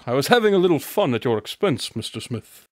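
An actor playing a witty, aloof detective in a radio drama, speaking formally, precisely and with dry teasing. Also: male voice, mid-range voice, sing-song, brisk, a little mellow, no echo, natural voice. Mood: dryly teasing.

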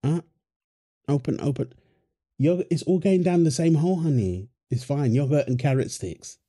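A man's voice: fairly high pitch, expressive, moderate pace, mellow, breathy edge. A man performing a wholesome audio roleplay as a husband and dad, teasing his partner and cooing fondly at their baby with a playful manner.

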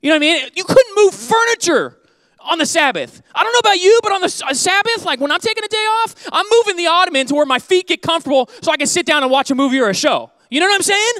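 A man in his thirties preaching a sermon on a church stage into a handheld mic, animated and joking, then dropping to soft earnest tones. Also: extremely high pitch, fast talker, no echo. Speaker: pastor.

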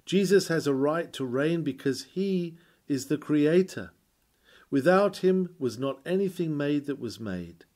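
A man reading an old sermon aloud in a solemn, declamatory way, with formal, measured and emphatic phrasing. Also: fairly high pitch, lively intonation, darkish tone. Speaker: reader of a historical sermon. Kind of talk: sermon read aloud, formal scripted oratory.

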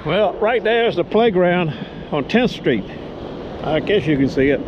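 A man's voice: high-pitched, animated, unhurried. Mumbling man on a windy beach. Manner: mumbling, talking half to himself. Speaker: metal detecting vlogger. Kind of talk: muttered running commentary while detecting. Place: windy open beach by the surf. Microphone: action camera worn on the body. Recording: dull lo-fi sound with loud wind and surf. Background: wind and crashing waves.

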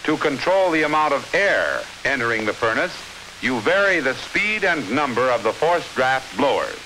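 An American man reading scripted 1950s instructional film narration in a measured, clearly enunciated, authoritative manner. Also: medium pitch, big pitch swings, pushed and bright, poor audio. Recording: hissy 1950s film soundtrack.

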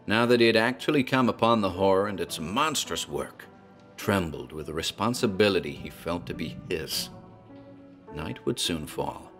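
A man narrating a horror tale in a theatrical, storytelling manner, as a tavern landlord. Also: deep voice.

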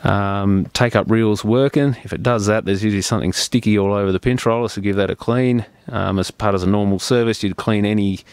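Low-voiced man giving a practical repair demonstration. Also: steady tone, a little fast, hardly any pauses, a little mellow, clean tone, dry recording. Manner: plain-spoken, practical, step-by-step explaining. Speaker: repair technician presenting a tutorial. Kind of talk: unscripted hands-on repair demonstration.

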